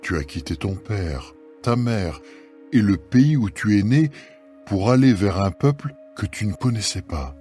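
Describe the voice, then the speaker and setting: Low-voiced kindly landowner played by a man. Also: lively intonation, a little slow, warm tone, soft background music. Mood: kindly, reassuring. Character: kindly, authoritative rich landowner, older man. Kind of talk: dramatised scripture reading.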